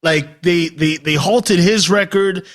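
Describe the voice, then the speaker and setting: Male radio co-host, casual, slightly high voice, quick agreeing interjections. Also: fairly bright tone, faint music behind. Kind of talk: casual chat.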